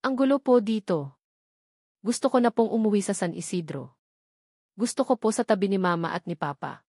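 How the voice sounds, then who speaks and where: A woman's voice: mid-range voice, animated, moderate pace, darkish tone, no echo, studio quality. A woman voicing a small, frightened, homesick boy who pleads politely in an audio storybook. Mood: tearful, pleading.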